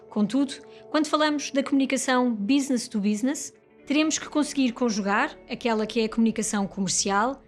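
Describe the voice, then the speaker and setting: Higher-pitched woman narrating in European Portuguese accent. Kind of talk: scripted corporate report narration. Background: music.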